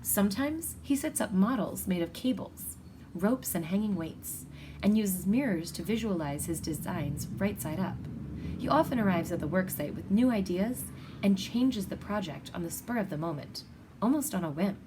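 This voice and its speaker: A woman reading a children's picture book aloud in an expressive, deliberate storytelling manner. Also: medium pitch, background noise. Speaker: storybook reader.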